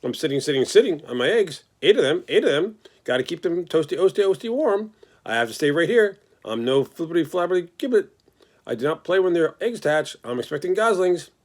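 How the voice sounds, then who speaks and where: Chattery mother goose, repeats everything, sing-song and high, man doing it. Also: big pitch swings, brisk. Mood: fussy. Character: fussy mother goose sitting on eggs.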